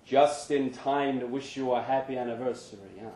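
A man's voice: mid-range voice, steady tone, normal speed, dark tone, reverberant, recorded from a distance, close to an everyday voice. A man playing a wounded, guarded country singer in a short story, terse and bitterly polite. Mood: needling.

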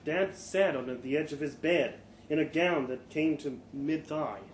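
A man giving an expressive live reading of a first-person short story, with dramatic pauses and the odd stumble. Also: a little high. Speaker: story reader. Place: live storytelling event in a pub room. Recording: echoey live venue, faint background noise.

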